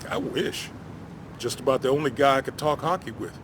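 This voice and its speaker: A world-weary American ex-miner played by a man in a story game, middle-aged and plain-spoken. He talks in a folksy, wry, friendly way at a medium pitch. There is clear outdoor noise behind him. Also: normal speed, lightly acted. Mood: weary, wistful. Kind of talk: naturalistic video game acting.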